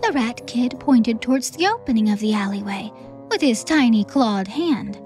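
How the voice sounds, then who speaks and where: High-voiced woman narrating a story. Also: animated, moderate pace, a little mellow, faint music behind. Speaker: audiobook narrator.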